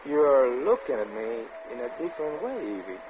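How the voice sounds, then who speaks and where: An actor playing a wry, guarded soldier of fortune in a 1940s radio drama. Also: male voice, mid-range voice, sing-song, moderate pace, natural voice. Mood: wary, teasing. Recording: tinny, noisy old radio recording.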